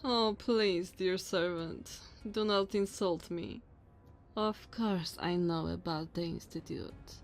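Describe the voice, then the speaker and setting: Woman doing a tall vampire noblewoman for asmr roleplay, really slow and drawn out, haughty, bossy, mocking, talks all formal. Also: lowish voice. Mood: condescending. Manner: slow, condescending, theatrical formal diction.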